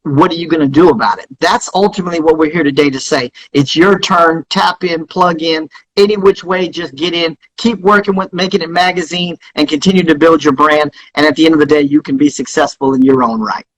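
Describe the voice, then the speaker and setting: High-pitched, husky, forceful man. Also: fairly even intonation, fairly quick, hardly any pauses, low-quality recording. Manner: emphatic, exhorting, repeats himself for stress. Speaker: guest, music industry founder. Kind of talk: live interview, motivational business advice.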